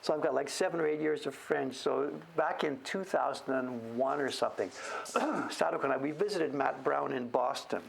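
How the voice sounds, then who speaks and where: A man's voice: medium pitch, normal speed, vocal fry, a little hiss or hum, rough recording. A middle-aged man hosting a show-and-tell, chatting casually in a rambling, self-deprecating way. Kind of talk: casual livestream chat.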